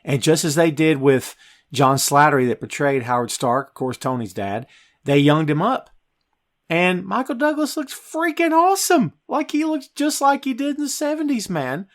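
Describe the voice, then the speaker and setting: A man hosting a film review podcast, talking to listeners in an emphatic, excitable way. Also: fairly high pitch, normal speed. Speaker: podcast host.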